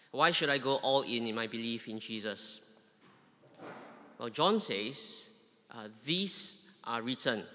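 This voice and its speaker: A man's voice: medium pitch, bright, forceful tone, in a large hall. A man from Singapore with a Singaporean accent preaching a sermon, with frequent "uh" fillers and emphatic repeated questions.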